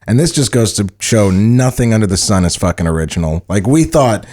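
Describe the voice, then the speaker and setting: An American man co-hosting a podcast, chatting casually in an animated way and swearing freely. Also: fairly low pitch, brisk. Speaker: podcast co-host. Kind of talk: casual chat.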